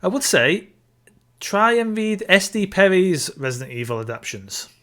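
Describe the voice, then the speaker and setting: A man's voice: high-pitched, very animated, moderate pace, a bit bright. A man co-hosting a podcast, chatting casually about films and games. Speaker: podcast co-host.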